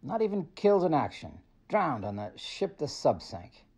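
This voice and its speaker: A weary police inspector played by a woman in a radio play. The voice is very low for a woman and moves animatedly, in a dry, plainspoken manner. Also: big pitch swings, normal speed, a little mellow, husky, exaggerated voice. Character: weary police inspector, a father, gruffly sympathetic. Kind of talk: old-time radio drama acting.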